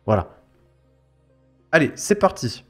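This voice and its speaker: A man with a French accent and a fairly high voice reacts to a video in loose, colloquial speech. He is mocking and emphatic as he comments. Music plays softly behind him. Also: big pitch swings, takes it slowly. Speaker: host of a reaction video channel. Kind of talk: casual spoken commentary on a video. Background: background music.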